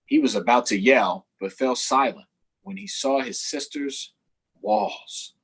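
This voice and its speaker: A man hosting a storytime for children, reading aloud and chatting directly to young viewers in an expressive way. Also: mid-range voice, a little slow, gravelly, slight background noise. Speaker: storytime host, councilmember. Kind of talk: children's read-aloud and live chat.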